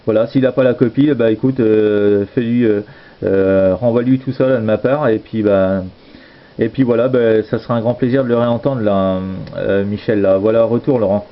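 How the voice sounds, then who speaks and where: Low-voiced hesitant man, slightly muffled. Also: normal speed. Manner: hesitant, repetitive, informal phrasing. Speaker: amateur radio operator. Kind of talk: casual radio contact chat.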